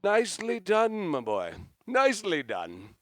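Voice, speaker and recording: An actor playing a vain, tipsy Shakespearean stage star with grandiose theatrical delivery in a radio play. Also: male voice, sits high, huge pitch swings, unhurried, long reverb tail, noisy background, full character voice. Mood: pompous, tipsy.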